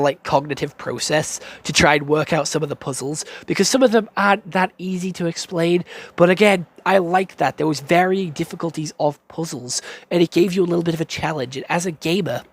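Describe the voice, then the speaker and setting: Young british guy ranting about a game, swears every other word, kinda high voice, keeps tripping over himself. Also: brisk. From United Kingdom. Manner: excitable, swears constantly, fillers and stammered restarts. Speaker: game reviewer. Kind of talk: casual profane game review.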